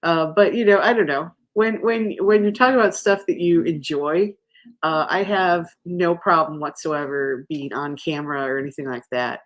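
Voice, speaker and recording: A woman's voice: low voice, moderate pace. Chatty woman hosting a crochet live. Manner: rambling, many fillers and restarts, laughs often. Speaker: host of a live crochet stream. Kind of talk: casual rambling chat.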